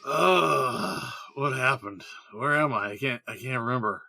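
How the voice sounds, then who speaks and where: A man's voice: mid-range voice, lively intonation, unhurried, vocal fry, pushed and bright, no room sound, heavily acted. A man playing a weary, exasperated gnome in an amateur comedic fantasy audio drama. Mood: dazed, confused.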